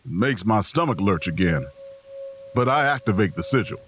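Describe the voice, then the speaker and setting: An actor playing a weary, watchful drifter who narrates a horror audio drama in a folksy, subdued, husky voice. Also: male voice, lowish voice, lively intonation, moderate pace, fairly bright tone, a little hiss or hum. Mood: tense, weary. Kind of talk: audio drama first-person narration and dialogue.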